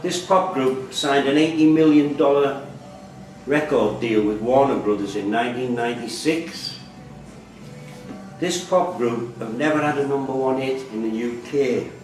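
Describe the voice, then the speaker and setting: A man's voice: mid-range voice, a little slow. A man reading out questions as the quiz master at a live quiz. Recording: echoey room, distant mic, loud background music.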